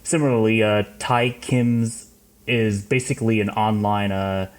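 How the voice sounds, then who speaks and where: Lowish-voiced man in casual podcast talk. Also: fairly even intonation, a little slow. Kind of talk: casual explanatory chat.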